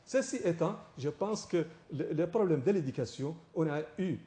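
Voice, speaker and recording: A professor from Mauritania arguing as a guest on a TV panel debate, stumbling through repeated restarts. His voice sits fairly high yet sounds mellow and dark. The studio around him is echoey. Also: animated. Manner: halting, many repeated restarts.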